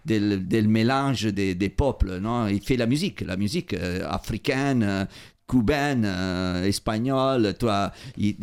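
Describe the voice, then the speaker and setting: A man with an Italian accent hosting a radio show in French, chatting effusively, stumbling over words and filling every pause with repeated "voilà". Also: on the low side, vocal fry, clean tone. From Italy. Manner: effusive, halting, fills gaps with repeated "voilà". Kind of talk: casual on-air chat.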